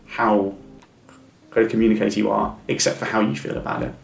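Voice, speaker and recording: Gravelly low-voiced man with a stammer. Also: little pitch movement, brisk. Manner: stammers with repeated words and restarts; earnest, encouraging advice. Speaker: interview guest. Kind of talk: reflective interview talk. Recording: echoey room with faint music.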